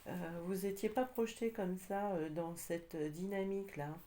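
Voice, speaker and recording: A woman with a slight cold doing a casual tarot reading, talking hesitantly with many pauses and fillers. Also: fairly low pitch, fairly even intonation, mellow, a little hiss or hum. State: has a cold, slightly congested. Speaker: tarot card reader.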